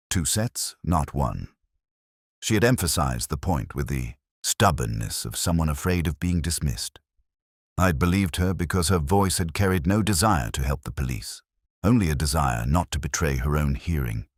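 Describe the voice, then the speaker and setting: Very deep-voiced man narrating a detective story. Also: dry recording, studio quality. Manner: measured, literary, brooding delivery. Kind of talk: scripted first-person detective story narration.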